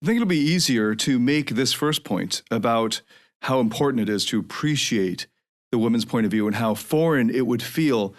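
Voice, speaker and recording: A man in his forties from North America hosting a podcast alone, speaking in a reflective, earnest way and often restarting as he thinks aloud. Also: medium pitch. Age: 40s. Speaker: podcast host. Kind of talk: solo podcast monologue.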